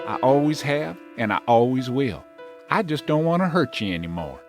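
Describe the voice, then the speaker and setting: An actor playing an earnest, conciliatory estranged husband in an audio soap drama. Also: male voice, mid-range voice, sing-song, normal speed, soft background music. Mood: earnest, wounded. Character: estranged husband, outwardly conciliatory, secretly compliant schemer.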